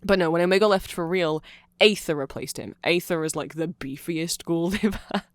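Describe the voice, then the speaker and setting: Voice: medium pitch, normal speed, smooth, no echo. A speaker giving an off-the-cuff, nerdy ASMR explanation, rambling along with asides and self-corrections, close to the microphone. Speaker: ASMR audio creator. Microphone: close microphone in a dry space.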